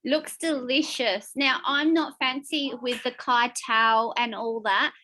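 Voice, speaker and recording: Woman, high bright voice, upbeat co-host, laughs easily. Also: fairly even intonation, slow pace, slight background noise, rough recording. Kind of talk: reading out poll results.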